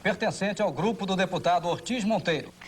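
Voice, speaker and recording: A man's voice: sits high, a little fast, husky. A man with a Brazilian accent narrating a television documentary from a script. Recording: old TV broadcast with faint noise.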